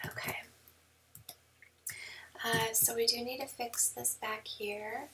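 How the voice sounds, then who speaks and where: A woman photographer giving a relaxed editing tutorial, hoarse and half whispering because her voice is going, with many ums. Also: fairly high pitch, takes it slowly, distant mic. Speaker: newborn photographer. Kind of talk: screen-recorded editing tutorial. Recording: mic set back, faint background noise.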